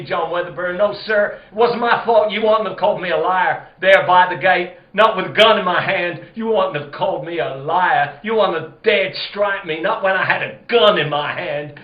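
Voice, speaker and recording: An actor playing a guilty, blustering country sheriff in an old-time radio drama, with halting, repetitive, folksy speech. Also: male voice, sits high, lightly acted. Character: guilty, blustering rural sheriff, male, increasingly panicked. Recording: dull, roomy stage recording.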